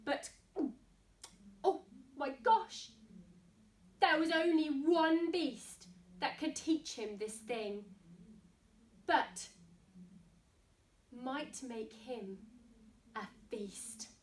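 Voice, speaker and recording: A woman's voice: high-pitched, very slow, long pauses, far from the mic, a little hiss or hum. A woman reading a picture book to young children theatrically, with asides and questions to her listeners. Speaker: storybook reader. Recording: echoey room.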